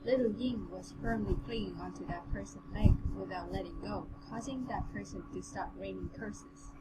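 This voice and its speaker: A woman with a mid-pitched voice co-hosts a read-along of a novel. She is informal and playful in her reading and commentary. The home recording has clear background noise. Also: moderate pace. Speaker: co-host and reader. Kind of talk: novel read-aloud with casual, joking commentary.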